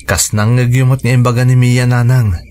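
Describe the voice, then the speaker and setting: A man's voice: medium pitch, level tone, fairly quick, a little mellow, a little hiss or hum, natural voice. A man acting an earnest, devoted young son. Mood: earnest, resolute. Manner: earnest, respectful. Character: devoted, respectful young son in love, quietly resolute. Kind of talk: radio drama acting.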